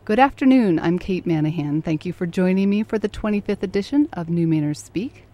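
A woman's voice: fairly low pitch, animated, brisk, dark tone. A woman hosting an interview program, introducing and questioning her guest. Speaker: radio interview host.

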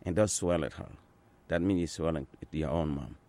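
A man in his sixties from Sudan, an Azande speaker talking in English, answering interview questions in an earnest, unhurried way with frequent pauses and restarts. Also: extremely low pitch, warm tone. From South Sudan. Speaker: interviewee, translator. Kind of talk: conversational interview.

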